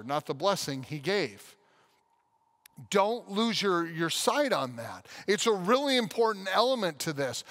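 A man in his thirties preaching a sermon on a church stage into a headset mic, animated, often breaking into loud acted-out quotes. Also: high-pitched. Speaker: pastor.

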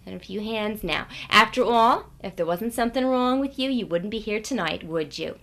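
A woman playing a brisk, condescending seminar leader in a satirical radio lecture, coaxing her listeners. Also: medium pitch, fairly bright tone, lightly acted. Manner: patronizing, coaxing the audience.

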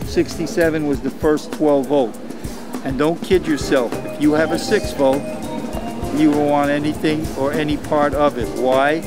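A man's voice: a little high, fairly even intonation, normal speed. An older man chatting casually at an outdoor car show, folksy with many ums and uhs, recorded on a handheld camera. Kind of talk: casual interview. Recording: outdoor camera audio with music behind.

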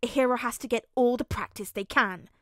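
A woman's voice: a little high, expressive, normal speed, no room sound, studio quality. A woman voicing a proud, grumpy four-year-old boy in a story, blunt and pouting as the boy makes demands. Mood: pleased, proud.

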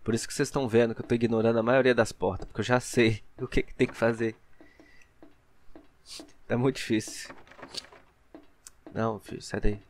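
A man's voice: mid-range voice, steady tone, brisk, pauses often, slight background noise. A young man with a Brazilian Portuguese accent, giving casual let's play commentary on a headset mic at home. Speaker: gaming YouTuber.

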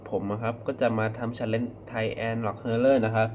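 A young man talking casually in a reaction vlog, excitable and full of exclamations. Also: mid-range voice, unhurried. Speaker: video creator reacting to a horror clip. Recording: faint background noise.

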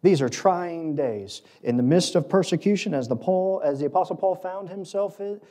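A man's voice: high-pitched, moderate pace, dark tone. A middle-aged American man preaching a sermon as a pastor, emphatic, building short repeated phrases at a lectern microphone. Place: auditorium stage at a lectern, indoors.

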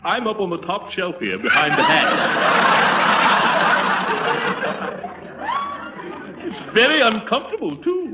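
A pompous, cowardly British aristocrat in a 1930s radio comedy. The genteel voice is high and leaps dramatically in pitch, heard on a muffled old broadcast. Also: male voice, wildly swooping pitch, a little fast, over a noisy crowd, very noisy. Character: pompous, cowardly British lord and game hunter.

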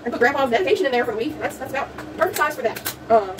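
Fast-talking young Louisiana woman. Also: sits high, animated. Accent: South Louisiana. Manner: rambling, chatty, lots of ums. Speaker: vlogger. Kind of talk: casual haul video. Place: indoors at home, a small room with a table. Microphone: phone camera set back on the table. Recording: distant phone mic, faint room noise.

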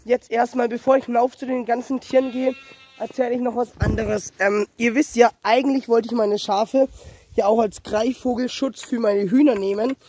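A young man with a cold and a slightly hoarse voice, rambling and correcting himself as he talks viewers through his farmyard on a handheld phone. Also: very high-pitched, steady tone, normal speed, darkish tone. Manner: rambling, self-correcting, addresses viewers directly. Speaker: small-animal farm vlogger. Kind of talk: casual walk-around vlog. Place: outdoors on a small farmyard. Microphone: handheld phone camera.

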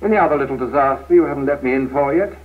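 An actor playing an impatient, self-righteous middle-aged office manager, British, curt and sarcastic, in a 1970s training film. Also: male voice, medium pitch, very fast, lightly acted. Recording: tinny, noisy 1970s film soundtrack.

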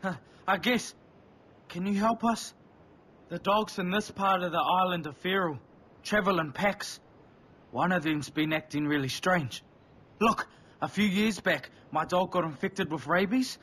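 An actor playing a worried, earnest island villager in a video game, with a husky voice and anxious, plain-spoken manner. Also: male voice, high-pitched, a little slow, fairly bright tone, a little hiss or hum. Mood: worried explaining. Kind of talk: video game quest dialogue.

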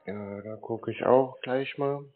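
A man in a casual phone conversation, talking in a naive, slow-witted, stalling way like a confused customer. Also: mid-range voice. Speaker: prank caller posing as a naive customer. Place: phone call. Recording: tinny band-limited phone line.

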